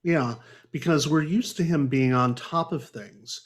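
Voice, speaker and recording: A man's voice: on the high side, a little slow. A man talking casually about a book as a panelist in a podcast discussion.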